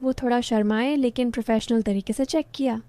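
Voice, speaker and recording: A woman narrating a first-person story in a steady storytelling tone. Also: a little high, fairly quick, clean tone, dry recording.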